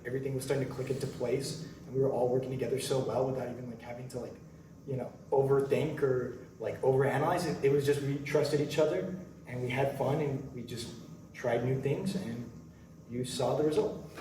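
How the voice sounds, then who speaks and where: A man's voice: medium pitch, steady tone, a little fast. A man speaking as an actor in a casual Q&A, rambling with enthusiasm and hesitation. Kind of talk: casual Q&A chat. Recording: echoey room, distant mic, background noise.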